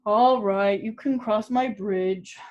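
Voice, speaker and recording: A woman voicing a terrible, hungry male troll who growls his threats slowly and loudly. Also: medium pitch, highs cut off, lightly acted. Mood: menacing growl. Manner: growling, roaring, slow and loud. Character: terrible ugly one-eyed male troll, hungry and bullying.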